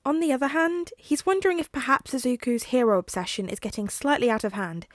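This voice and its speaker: A woman's voice: sits high, quick pace, no room sound. Woman narrating fiction, close and dry. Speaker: podfic narrator. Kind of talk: scripted story narration.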